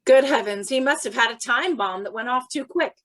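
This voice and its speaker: High-voiced, sardonic police commissioner played by a woman. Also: fairly quick, a bit bright, close to an everyday voice. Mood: resigned, matter-of-fact. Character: resigned, sardonic police commissioner, male in the story. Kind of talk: old-time radio drama acting.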